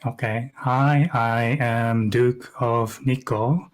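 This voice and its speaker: A middle-aged man from Japan, a knitwear designer speaking softly and carefully as a guest on a video call interview. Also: mid-range voice, steady tone, very slow.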